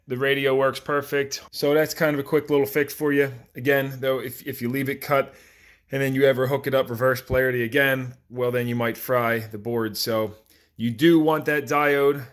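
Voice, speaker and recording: A man's voice: mid-range voice, a bit bright. Casual plainspoken man explaining a repair. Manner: plainspoken, informal. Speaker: host of a DIY repair tutorial. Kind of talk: casual hands-on how-to explanation.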